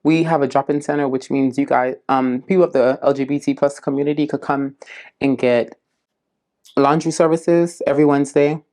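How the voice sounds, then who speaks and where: A woman's voice: a bass voice, steady tone, mellow. Earnest woman answering interview questions. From South Florida, US. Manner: unhurried, many "um"s and "like"s, earnest. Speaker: community case manager. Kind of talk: casual interview answers to prompts.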